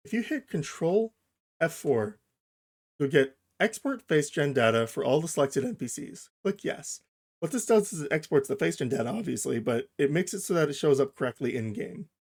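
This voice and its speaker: A man hosting a software tutorial, explaining each step informally and thinking aloud as he goes. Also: fairly high pitch, very animated, normal speed. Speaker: tutorial host. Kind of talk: casual software tutorial narration.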